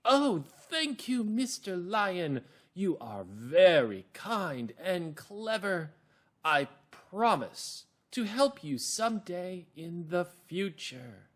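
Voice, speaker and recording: A man voicing a tiny, polite mouse in a small, soft voice, meek and courteous, for a children's story. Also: sits high, sing-song, voice pushed up, exaggerated voice. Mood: grateful. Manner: slow, pleading, courteous.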